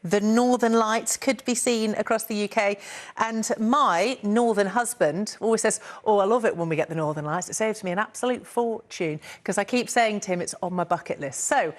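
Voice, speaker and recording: A woman's voice: medium pitch, moderate pace. A woman presenting the weather forecast in a chatty, jokey broadcast style with asides to her co-presenter. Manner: warm, jokey, chatty delivery.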